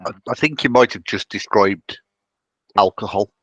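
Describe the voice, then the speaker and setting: A man playing a tabletop roleplaying game, casually improvising narration and banter in a halting, joking way. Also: on the low side, fairly bright tone, dry recording, dull audio.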